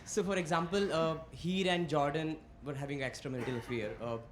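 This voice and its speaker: A man's voice: a little high, fairly even intonation, fairly quick. A man asking a question from an audience, polite and hesitant. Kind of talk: spontaneous question. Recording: echoey room, noisy background.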